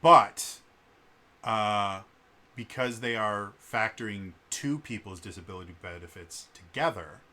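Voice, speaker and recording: A man's voice: lowish voice, pushed and bright, slight background noise. A man with a stutter vlogging unscripted, earnest, with many fillers and restarts. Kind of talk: unscripted vlog monologue.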